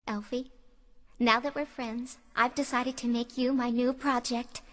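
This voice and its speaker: A woman's voice: on the high side, flat delivery, a little slow, natural voice. An actress playing a bubbly, condescending popular young woman in musical theatre, with a theatrical, self-important, gushing manner. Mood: smug, gushing. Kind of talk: musical theatre dialogue and song. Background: loud tinkling musical accompaniment.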